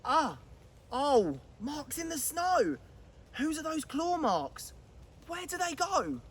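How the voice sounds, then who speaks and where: A woman's voice: high-pitched, big pitch swings, takes it slowly, a little hiss or hum, pitched above the performer's own voice, exaggerated voice. A woman voicing a brave, curious young monster child in a storybook, exclaiming and wondering aloud. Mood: curious, surprised.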